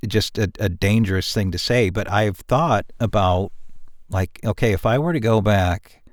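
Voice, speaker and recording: A man in a casual behind-the-scenes podcast discussion, speaking as a show's creator in a halting, reflective way with repeated words. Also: fairly low pitch, no room sound, clean studio sound. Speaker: audio drama creator and producer.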